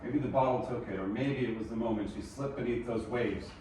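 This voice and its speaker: A man's voice: mid-range voice, fairly quick, a little mellow, lightly acted. A man playing a broken, grieving musician in a screenplay table read, brooding and subdued. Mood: bitter grief. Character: Beric, broken, haunted, grieving male musician. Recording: distant, echoey room with clear noise.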